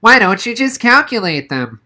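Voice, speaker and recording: A man's voice: high-pitched, sing-song, normal speed, bright, forceful tone, voice pushed up, exaggerated voice. A man voicing a whiny, pedantic, scrawny schoolboy genius in an audiobook story. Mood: bewildered.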